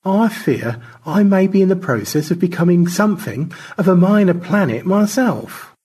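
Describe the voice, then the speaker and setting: Man playing husky, swooping, pompous gentleman spaceman. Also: sits high, lively intonation, moderate pace, pitched above the performer's own voice. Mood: airy, unruffled. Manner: florid, mannered, airily unflappable. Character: George, languid, pompous, theatrical gentleman space adventurer.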